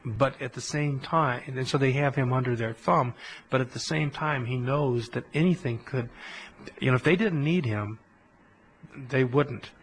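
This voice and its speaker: A man giving a conversational history lecture on a podcast, telling it as an animated story with colloquial asides. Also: mid-range voice, measured pace, rough recording.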